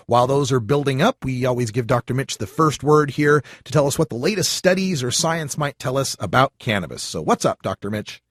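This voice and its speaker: A man's voice: mid-range voice, no echo, clean studio sound. Upbeat man hosting a call-in show. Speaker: radio talk show host.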